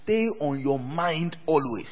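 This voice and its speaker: High, animated preaching man. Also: measured pace. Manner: call-and-response preaching, repeats phrases for the church. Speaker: pastor. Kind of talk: sermon. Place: church hall. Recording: thin phone-like sound with long hall reverb.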